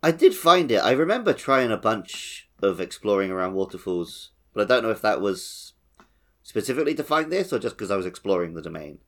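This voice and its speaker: A man's voice: fairly low pitch, very animated, brisk. A man co-hosting a podcast, chatting casually about a video game. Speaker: podcast co-host.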